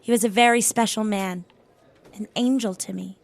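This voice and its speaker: A woman's voice: medium pitch, fairly even intonation, moderate pace, vocal fry, natural voice. A woman playing a guarded, dignified lady in an audio drama, speaking in a composed, formal and reserved way. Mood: curt, firm. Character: guarded, curt, dignified divorced working woman.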